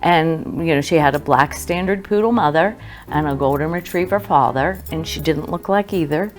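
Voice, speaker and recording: A woman's voice: low-pitched, moderate pace, soft background music. A middle-aged woman, a guest author and dog breeder, chatting fondly and laughing easily in an interview. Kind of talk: casual interview conversation.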